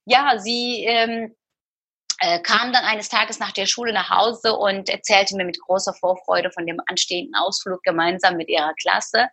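A woman's voice: mid-range voice, steady tone, normal speed, bright, forceful tone, husky, dry recording. A middle-aged woman from Turkey, speaking earnestly and confessionally as an interview guest, often searching for her words. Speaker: guest, former TV presenter. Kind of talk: personal interview.

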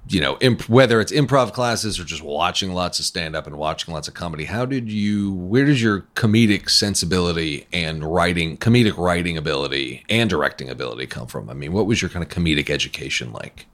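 A man's voice: low-pitched, expressive, brisk, few pauses, a bit bright. A man interviewing a guest, asking questions casually and reacting with short encouraging remarks.

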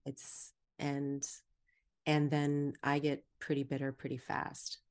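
Female podcast guest, low-pitched voice, casual and reflective conversational delivery. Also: completely flat delivery, slow pace, a little mellow. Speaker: podcast guest, Human Design teacher.

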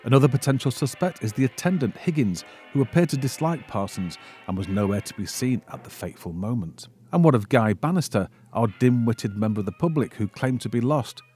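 A man reading a scripted narration that recaps an old mystery film, with a husky voice. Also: mid-range voice, expressive, fairly quick, hardly any pauses, darkish tone, soft background music. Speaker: narrator presenting a film summary.

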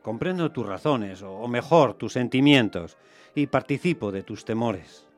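Actor as honourable master of the house for radio drama: mid-pitched, earnest, weighing words, light music underneath. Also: male voice, expressive, natural voice. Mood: hesitant, thoughtful. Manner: hesitant, deliberate phrasing. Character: gentleman master, earnest, deliberate, honourable convalescent suitor. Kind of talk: radio theatre acting.

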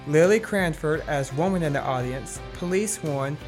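A woman's voice: low-pitched, lively intonation, normal speed. A woman reading a scripted announcement as a credits announcer. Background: guitar music.

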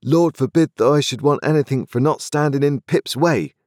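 A man's voice: a little high, normal speed, a little mellow, no echo, studio quality, voice pushed up, lightly acted. A man doing an earnest, plain-spoken working man. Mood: earnest, blunt. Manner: earnest, blunt.

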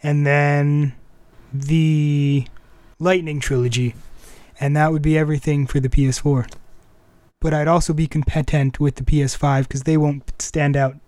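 A man's voice: fairly high pitch, level tone, measured pace, darkish tone, dry recording. A man hosting a podcast, chatting casually about pop culture. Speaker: podcast host. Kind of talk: casual chat about anime music and games.

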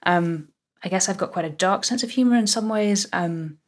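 A woman's voice: medium pitch, moderate pace. A young adult woman, an actor, chatting enthusiastically as a guest in an interview, thinking aloud as she goes. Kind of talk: casual interview chat.

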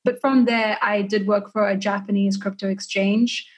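A woman's voice: medium pitch, fairly even intonation, moderate pace. A woman in her early twenties with a South African accent, chatting casually as an interview guest. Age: early 20s. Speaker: podcast guest.

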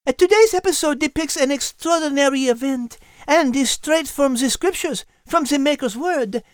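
A woman plays a prim, clever little female creature with a French accent. The voice is very high, with precise, formal phrasing fit for a children's animal story, recorded dry and close. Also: normal speed, dry recording, close to an everyday voice. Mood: polite, earnest. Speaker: co-host and character in an animal story podcast. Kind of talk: children's audio drama.

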